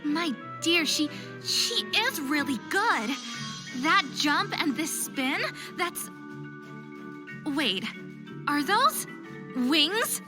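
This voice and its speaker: A woman's voice: high voice, lively intonation, very slow, pauses often, music in the background, heavily acted. A woman voicing an anxious but determined young female figure skater in a visual novel game, given to self-doubt and pep talks. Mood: amazed, indignant.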